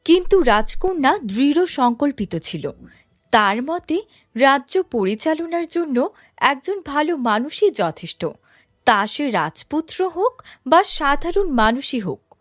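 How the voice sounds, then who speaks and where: A woman narrating a cartoon fairy tale in a smooth, even, scripted storytelling manner. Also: sits high, slow, dry recording, clean studio sound.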